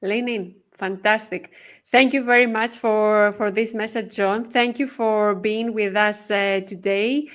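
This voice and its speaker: A woman hosting a live podcast interview, speaking briskly with frequent filler pauses. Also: medium pitch, fairly even intonation. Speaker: podcast host.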